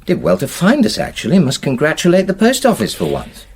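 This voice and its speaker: An actor playing a middle-aged publisher and husband in a naturalistic radio play, with an English accent and a conversational, dryly humorous, slightly hesitant way of talking. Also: male voice, on the high side, huge pitch swings, a little fast, vocal fry, a little hiss or hum, full character voice. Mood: wry.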